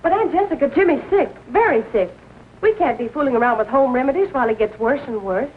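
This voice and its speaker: An actress playing an earnest, defiant young niece in a 1940s melodramatic film style. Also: female voice, high voice, lively intonation, quick pace. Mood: pleading. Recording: tinny, noisy old film soundtrack.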